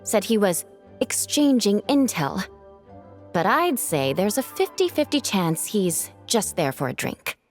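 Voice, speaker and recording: Wry teasing knight. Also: female voice, medium pitch, big pitch swings, a little slow. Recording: game audio with faint music.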